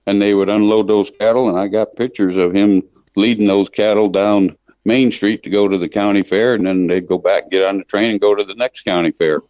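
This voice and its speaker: A man's voice: fairly low pitch, fairly even intonation, brisk, a little mellow. An older American man from Michigan talking conversationally as an interview guest, plain-spoken and folksy, often repeating words as he goes. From Michigan, United States. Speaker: interview guest, livestock association chief executive. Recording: dull lo-fi line in a roomy space.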